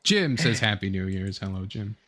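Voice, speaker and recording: A man's voice: fairly low pitch, animated, fairly bright tone. Rambling young man hosting a fan chat. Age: young adult. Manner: rambling, halting, fragmented phrasing. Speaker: online show host. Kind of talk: casual fan commentary, reading chat comments.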